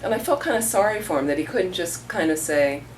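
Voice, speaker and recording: A woman with a low voice gives a relaxed teaching talk, chatting through stories and slipping into the voices of the people in them. She is heard from across the room on a distant mic, with a faint hum of room noise behind her. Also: brisk. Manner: conversational, storytelling with acted-out quotes. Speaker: Buddhist teacher. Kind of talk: informal dharma talk.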